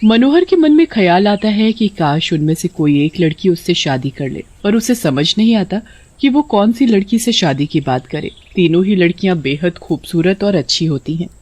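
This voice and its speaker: A woman's voice: lowish voice, expressive, moderate pace, darkish tone, dry recording. A woman narrating a scripted moral bedtime story as a storyteller.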